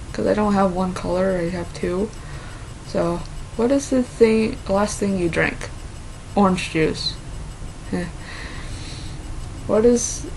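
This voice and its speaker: A woman with a mixed Minnesota and Southern accent who answers quiz questions in a casual vlog and talks haltingly with many fillers. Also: mid-range voice, a little mellow, a little hiss or hum, low-quality recording. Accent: mixed Minnesota and Southern American. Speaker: vlogger.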